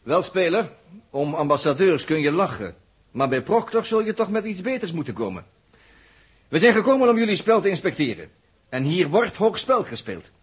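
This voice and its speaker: High-pitched, husky, sardonic space adventurer. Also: male voice, big pitch swings, normal speed, heavily acted. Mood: mocking authority. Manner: mocking, emphatic, challenging. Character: bold, sardonic space adventurer posing as stern inspector. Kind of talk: 1960s radio drama acting.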